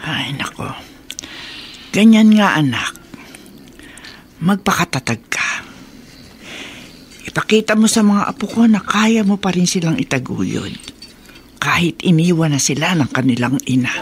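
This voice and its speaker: A woman's voice: low voice, lively intonation, a little slow, pauses often, a little hiss or hum. An older woman playing a caring, protective elderly Filipino grandmother in a radio drama. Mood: encouraging.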